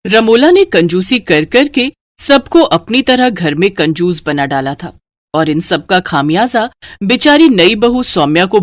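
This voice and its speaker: Woman narrator, slightly low pitch, scripted storytelling, dry studio-like sound. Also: no room sound.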